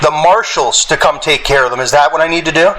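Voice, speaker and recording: A young man with a fairly high voice makes his case over the phone. He rambles and halts, yet keeps pushing. The recording has clear noise throughout. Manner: halting, rambling, insistent. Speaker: caller recording a phone complaint to police dispatch. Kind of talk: phone complaint, spontaneous.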